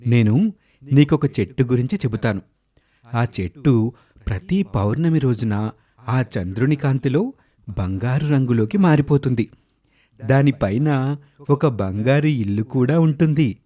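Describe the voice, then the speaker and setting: An actor playing a kindly holy man who speaks in a calm, fatherly way in a moral tale. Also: mid-range voice, measured pace, long pauses. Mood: reassuring. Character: kindly holy man swamiji consoling a young girl. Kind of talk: dramatised moral storytelling.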